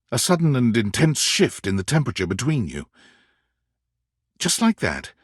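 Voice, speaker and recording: An actor playing a wry, dramatic male storyteller, narrating a romance game in a theatrical, expressive way. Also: medium pitch, slightly rough, no room sound, studio quality. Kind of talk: scripted second-person narration for a dating game.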